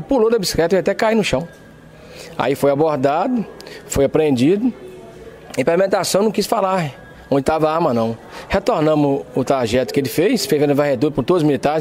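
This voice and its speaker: Man, Brazilian accent, somewhat high voice, police sergeant, official jargon, interview account. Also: a little mellow. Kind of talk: spoken account in a news interview.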